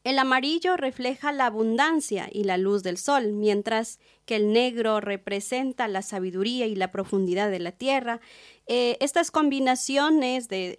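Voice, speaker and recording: A woman with an Ecuadorian highland accent speaks as an invited guest. Her pitch sits in the middle of the female range. She delivers prepared answers in an interview, like an artisan presenting her craft. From Chimborazo, Ecuador.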